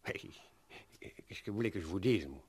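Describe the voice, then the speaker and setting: A man's voice: sits low, expressive, fairly quick, darkish tone, breathy edge, no room sound. A man playing a wary, discreet hotel porter in a 1960s French radio play, stammering and repeating himself colloquially. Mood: flustered, cautious. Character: wary, flustered male hotel porter, discreet but gossipy.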